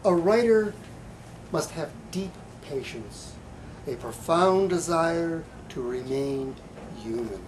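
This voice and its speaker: Slow, deliberate male poet reading aloud. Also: on the high side, poor audio. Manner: halting, hesitant asides and deliberate recitation. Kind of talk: live poetry reading with informal introductions. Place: bookstore reading event. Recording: light background room noise.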